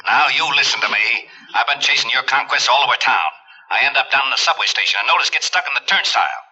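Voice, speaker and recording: Sharp, husky, exasperated police lieutenant. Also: male voice, mid-range voice, lively intonation, quick pace, heavily acted. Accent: American. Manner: impatient, blustering. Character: exasperated, gruff police lieutenant, the detective's old friend. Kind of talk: 1940s radio detective acting. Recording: dull 1940s radio recording.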